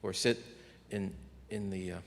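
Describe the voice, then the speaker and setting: A man leading a memorial service as minister, gently inviting people to share, with small restarts. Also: low voice, fairly even intonation, a little slow, creaky. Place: church sanctuary. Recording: church with long reverb.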